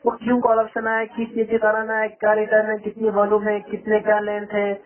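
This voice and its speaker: High-pitched, fast-talking salesman on phone. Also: completely flat delivery. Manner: insistent, repetitive, frequent tag questions. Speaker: trading company sales caller. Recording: muffled band-limited phone call recording.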